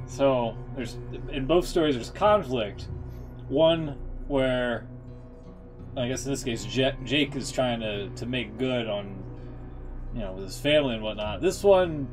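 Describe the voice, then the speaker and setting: A man giving casual, halting reaction commentary as a game streamer, musing as he goes. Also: medium pitch.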